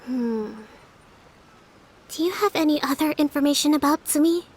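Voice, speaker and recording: An actress playing a gentle, thoughtful young female leader in a video game, speaking politely and in a measured way. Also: sits high, a little hiss or hum, close to an everyday voice. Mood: pondering, curious. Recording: music under the voice. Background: music.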